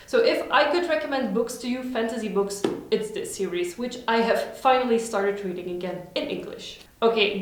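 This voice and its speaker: Woman, native Dutch speaker, slightly high voice, upbeat, heavy room echo. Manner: upbeat, chatty, enthusiastic. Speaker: vlogger. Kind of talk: casual recommendations vlog. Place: home room. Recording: very echoey room.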